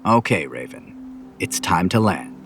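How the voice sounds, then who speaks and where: Man acting a guarded, low-voiced reaper. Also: sing-song, a little slow, a bit bright, slight background noise, full character voice. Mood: gentle, calming. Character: guarded, controlled male reaper, a disciplined killer. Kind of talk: audio drama acting.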